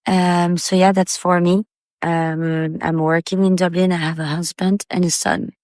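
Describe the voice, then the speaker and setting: A woman's voice: lowish voice, little pitch movement, a little slow, a little mellow, dry recording, clean studio sound. A woman from Paris, France, chatting casually as a guest on a podcast. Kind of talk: casual chat.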